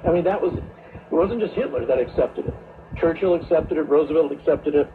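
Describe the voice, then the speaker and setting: A man hosting a radio talk show, speaking in a deliberate, reflective monologue with hesitant phrasing. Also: on the high side. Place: radio studio, heard through a shortwave receiver. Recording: noisy shortwave radio broadcast, tinny narrow band.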